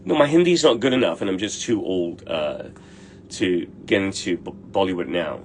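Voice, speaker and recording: A brash middle-aged man talks bluntly in a low voice and swears without a second thought. He answers viewer questions in a loose, casual way, and faint room noise hums under him. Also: animated, moderate pace. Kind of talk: casual livestream Q&A.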